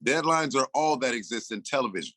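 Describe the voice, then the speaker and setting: Guest: sixty-something man, bright forceful voice, dry close sound, loose storyteller who acts out his own lines. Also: mid-range voice, animated, fast, no room sound. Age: 60s. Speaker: interview guest, rapper and producer. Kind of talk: casual interview talk.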